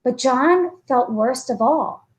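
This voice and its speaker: A woman reads a children's story aloud in an expressive storytelling style. Her voice sits fairly high and moves animatedly up and down, with careful phrasing. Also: takes it slowly. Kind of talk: expressive children's book narration.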